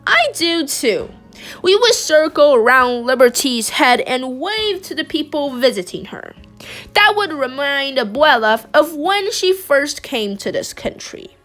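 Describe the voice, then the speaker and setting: A woman reads a picture book aloud, gently and expressively. Her voice is high and lively, her pace unhurried, and soft music plays beneath her. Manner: slow, expressive, gentle reading. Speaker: storybook reader.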